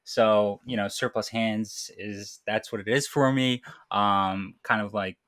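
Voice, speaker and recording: A man's voice: on the low side, fairly even intonation, unhurried, fairly bright tone, dry recording, clean studio sound. A young man from Morocco who grew up in the US, chatting informally as a podcast guest, explaining things a bit hesitantly. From born in Morocco, raised in US from age eight. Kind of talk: casual chat.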